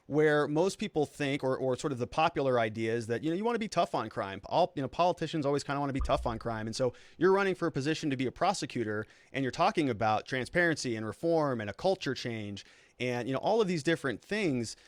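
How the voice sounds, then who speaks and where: Show host, male, mid-pitched, rapid rambling questions, dry close sound. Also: expressive, no room sound. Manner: rambling, full of "you know" and restarts. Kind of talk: casual interview chat.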